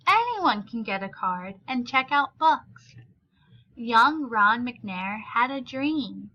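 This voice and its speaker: A woman reads a picture book aloud for young listeners. Her voice is bright and fairly high, and she phrases each line expressively and deliberately. The recording is a little dull and lo-fi. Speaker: read-aloud storyteller.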